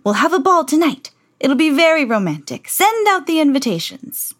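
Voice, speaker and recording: A woman voicing an impatient old king who blusters loudly. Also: high voice, very animated, moderate pace, faint music behind, pitched above the performer's own voice. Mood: blustering. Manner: loud, blustering.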